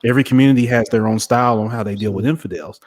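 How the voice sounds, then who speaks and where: Casual male interview host, mid-pitched voice. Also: racing.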